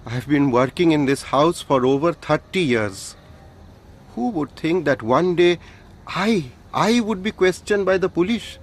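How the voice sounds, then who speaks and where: An actor playing an old, humble, weary idol-maker in a radio drama, earnest and deferential, with an Indian accent. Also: male voice, a little high, animated, measured pace. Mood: hurt, pleading. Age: older.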